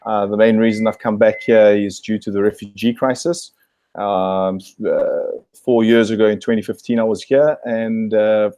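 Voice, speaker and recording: Low, dark-voiced man from South Africa. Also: dry recording. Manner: hesitant, many fillers and restarts. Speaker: guest. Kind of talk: video call interview. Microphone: video call.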